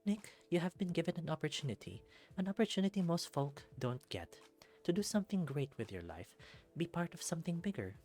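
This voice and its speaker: A man voicing a stern, formal female bear superhero in a visual novel, speaking in measured, deliberate phrases. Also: on the high side, very animated, darkish tone, soft background music, voice pushed up. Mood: firm, insistent.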